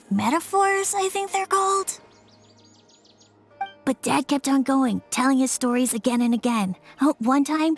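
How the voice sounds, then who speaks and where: A woman voicing a shy, earnest young boy who speaks softly and hesitantly in a game. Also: a little high. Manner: soft, hesitant storytelling. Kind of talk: game voice acting.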